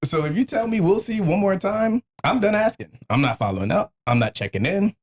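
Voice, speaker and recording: A man's voice: high voice, big pitch swings, a little fast. An American man, around his thirties, hosting a podcast with casual, comedic banter, wry, profane and emphatic. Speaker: podcast host.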